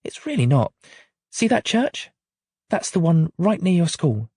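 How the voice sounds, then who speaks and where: A man's voice: on the high side, very animated, measured pace, dark tone, husky, dry recording, clean studio sound, pitched above the performer's own voice, heavily acted. A man reading aloud and voicing a stressed young mother who is late for work.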